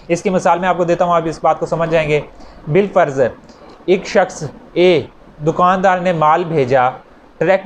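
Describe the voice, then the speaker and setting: A man with a Pakistani accent giving an explanatory law lecture, repeating and rephrasing points with worked examples for students. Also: a little high. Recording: noticeable background noise.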